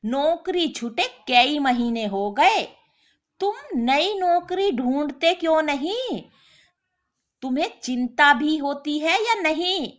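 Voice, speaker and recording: A woman plays a fed-up working wife in a storybook tale. She scolds with sarcasm and reproach, in a high, animated voice that takes its time. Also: takes it slowly. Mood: sarcastic scolding.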